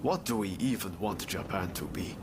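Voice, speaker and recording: An actor playing an easygoing but principled ronin full of doubts, musing casually in a video game cutscene. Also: male voice, sits low, expressive, moderate pace, noisy background. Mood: musing, resigned.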